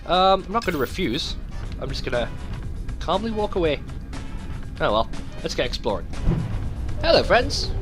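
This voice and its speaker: A man doing casual, rambling video game commentary, swearing freely and talking to himself as he plays. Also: a little high. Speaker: let's play host. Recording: music behind the voice. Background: game music.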